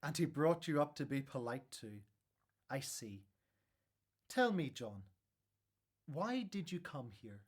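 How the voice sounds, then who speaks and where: A man playing a wise, welcoming religious elder in a radio drama, measured and gently authoritative. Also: mid-range voice, very animated, husky, exaggerated voice. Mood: kindly, solemn.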